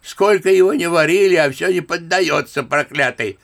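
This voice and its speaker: An actor playing a genial elderly general in a radio play, sighing and reminiscing like an old-fashioned storyteller. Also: male voice, a little high, moderate pace, gravelly, fairly bright tone. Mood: amused, animated.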